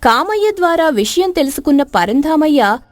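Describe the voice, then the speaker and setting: A woman's voice: high voice, very animated, normal speed, no room sound. A woman narrating a scripted story in a dramatic storytelling style.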